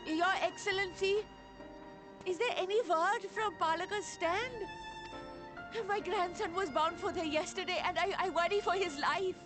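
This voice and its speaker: A distraught grandmother in a game drama. Her voice is extremely high and slow, full of fearful, dramatic pleading, with music behind it. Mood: worried. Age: older. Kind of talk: video game cutscene voice acting.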